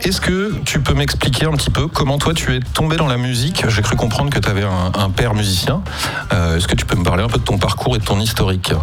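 A man hosts a radio interview with an easygoing, slightly halting delivery. His voice sits at a middle pitch for a man. Faint music plays behind him. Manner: relaxed, halting with fillers. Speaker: radio host.

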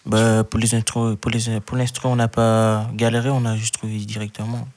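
A man's voice: lowish voice, monotone, normal speed, a little mellow. A sixteen-year-old boy from Martinique, a young rapper talking casually in an interview. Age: teens, sixteen. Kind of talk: casual chat.

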